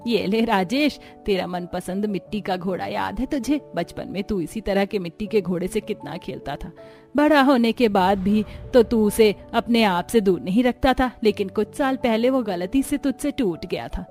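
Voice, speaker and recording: A woman's voice: medium pitch, moderate pace, darkish tone, soft background music, lightly acted. A woman voicing an affectionate, nostalgic older village mother in a cartoon, speaking tenderly.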